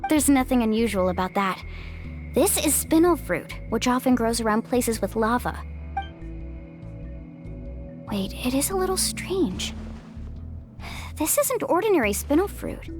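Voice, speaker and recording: A woman's voice: sits high, very animated, normal speed, faint music behind. A woman voicing a spirited but careful young female island guide in a video game. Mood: thoughtful.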